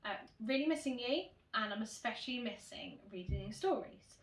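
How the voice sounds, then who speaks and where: A woman teacher reading a children's storybook in an animated, expressive way, addressing young children directly. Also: on the high side, normal speed. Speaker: primary school teacher reading to pupils. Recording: roomy echo, distant microphone.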